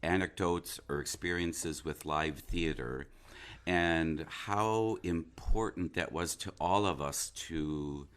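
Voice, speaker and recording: Male actor in casual conversation, very low voice, slow and halting, with false starts and reflective delivery. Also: a bit bright, smooth. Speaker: stage and radio actor.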